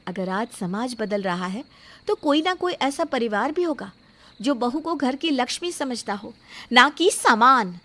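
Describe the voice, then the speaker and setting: A woman plays a defiant young bride-to-be in a moral story drama. Her high voice is sharp and very animated, with firm, emphatic delivery and an indignant, self-respecting temper. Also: measured pace. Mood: defiant, proud.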